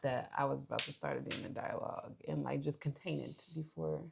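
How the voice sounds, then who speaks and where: A young woman with an American accent co-hosting a relationship show, explaining things casually and haltingly as she thinks aloud. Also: low voice, steady tone, vocal fry, a little mellow, slightly rough. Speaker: co-host of a couples' relationship show.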